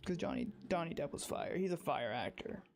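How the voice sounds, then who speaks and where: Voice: mid-range voice, expressive, a little fast, gravelly, faint music behind. A livestreamer chatting casually and emphatically, rambling, often repeating phrases and restarting sentences.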